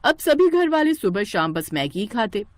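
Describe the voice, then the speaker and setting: Female narrator, scripted storytelling, expressive, lively intonation, dry studio sound. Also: medium pitch, moderate pace, no room sound.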